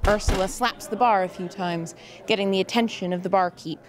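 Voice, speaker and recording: A woman's voice: medium pitch, steady tone, a little fast, background noise. A woman reading scripted narration and stage directions for an audio drama.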